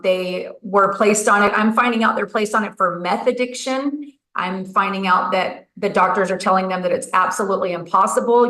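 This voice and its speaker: A woman's voice: medium pitch, fairly bright tone, echoey room, rough recording. A woman hosting a talk show, speaking earnestly and somewhat hesitantly, with many fillers. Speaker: host. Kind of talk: casual podcast talk.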